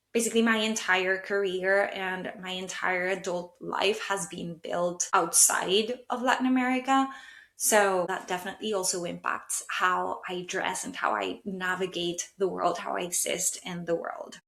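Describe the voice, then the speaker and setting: A woman's voice: mid-range voice, pushed and bright. Thoughtful woman in an echoey room. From Colombia. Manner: thoughtful, self-searching, many fillers like "like" and "kind of". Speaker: podcast host and stylist. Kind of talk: reflective solo podcast monologue.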